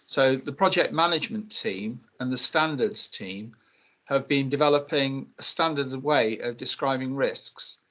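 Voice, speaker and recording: A man explains a work update in a measured, hesitant way, full of pauses and fillers. His voice is bright and forward. The video-call audio sounds dull. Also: medium pitch. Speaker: meeting participant giving an update. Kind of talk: explaining in an online meeting.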